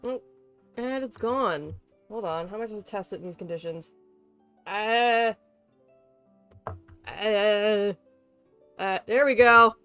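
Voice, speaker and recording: A woman's voice: mid-range voice, takes it slowly, long pauses, a bit bright, smooth, no echo, soft background music. A woman livestreamer chatting casually to viewers in a playful, chatty way. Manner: playful, chatty, talks to pets. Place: bedroom at home.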